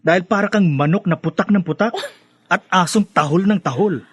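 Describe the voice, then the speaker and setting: An easygoing young Filipino son in a radio drama. His voice is a little high, casual in temper, recorded close and dry. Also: fairly quick, breathy edge, no room sound. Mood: playful, teasing. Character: easygoing, reasonable, teasing young adult son. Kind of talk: Tagalog radio drama acting.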